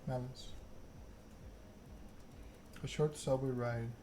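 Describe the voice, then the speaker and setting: A man reading a story aloud in an ASMR narration style, measured and unhurried. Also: mid-range voice, fairly even intonation, warm tone. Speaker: video game streamer reading game text aloud. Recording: noticeable background noise.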